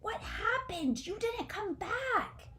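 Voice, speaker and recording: A woman voicing an excitable, worried young male possum from a storybook, exclaiming in a wide-eyed way. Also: very high voice, slow, voice pushed up, heavily acted. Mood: alarmed.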